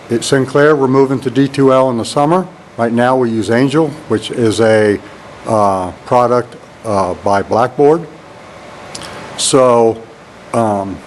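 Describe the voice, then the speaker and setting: Deep monotone man, lab tour. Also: a little hiss or hum, rough recording. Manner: rambling, says "all right" often. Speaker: networking instructor. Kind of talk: casual classroom tour walkthrough. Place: empty computer lab with equipment racks. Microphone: handheld camera mic while walking.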